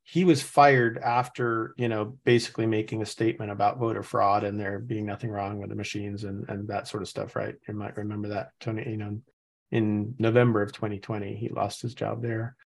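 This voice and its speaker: A man's voice: on the low side, level tone, brisk, creaky. A man from Norway, hosting a podcast in casual chat, thinking aloud as he reads through material with frequent restarts. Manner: thinks aloud, reading and musing with many restarts. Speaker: podcast host. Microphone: remote call setup.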